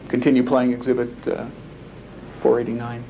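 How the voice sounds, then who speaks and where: Lawyer guy asking questions, stumbles over his starts, gravelly, muffled old tape. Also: medium pitch, monotone. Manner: halting, repeats words when starting questions. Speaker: attorney questioning a witness. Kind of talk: formal questioning. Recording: dull lo-fi archive tape with faint hiss.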